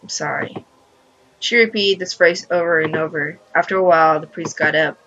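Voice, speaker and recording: Bright-voiced woman on a lo-fi mic. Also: lowish voice, steady tone, a little fast. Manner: emotional, exclaiming reactions. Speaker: host of a gaming channel. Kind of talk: visual novel read-aloud with commentary.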